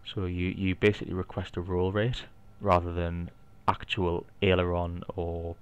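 A man's voice: deep voice, moderate pace, clear, resonant tone. A man with a Geordie accent casually narrating a flight simulator session, explaining each step and thinking aloud. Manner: relaxed, explanatory, thinking aloud with light asides. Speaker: flight simulator video maker. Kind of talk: casual narrated gameplay walkthrough.

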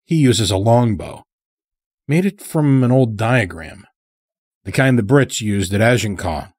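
A man's voice: lowish voice, expressive, unhurried, darkish tone, husky, dry recording, clean studio sound. A man voicing a terse, guarded hunting lodge master in an audio story, curt and restrained. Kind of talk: dramatic audio story character.

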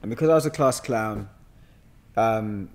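A man's voice: fairly low pitch, measured pace. A man in his thirties with a London accent, telling slangy, joking anecdotes in casual conversation. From Hackney, London, England. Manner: joking, slangy, animated storyteller. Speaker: podcast guest, actor. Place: indoor studio room with sofa. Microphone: podcast mic on boom arm.

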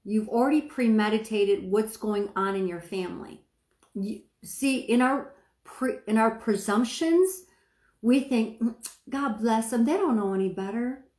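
A middle-aged woman with a soft speaking voice, preaching casually to a phone camera and checking that listeners follow her. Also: fairly high pitch, measured pace, roomy sound. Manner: chatty, asks the viewer questions, stretches words for emphasis. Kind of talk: informal sermon to camera. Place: small room indoors, seated.

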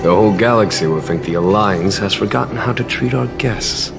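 Game casting: composed male general, fairly low voice, courteous and easygoing, over music. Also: vocal fry. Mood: gently diplomatic. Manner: courteous, unhurried. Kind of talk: video game voice acting.

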